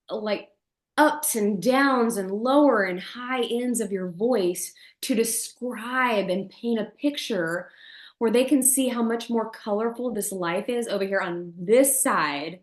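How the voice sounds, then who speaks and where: Expressive woman coach in a roomy echo. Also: slow pace. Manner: expressive, shifting pitch and loudness for emphasis. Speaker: guest, charisma and voice coach. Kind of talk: podcast interview.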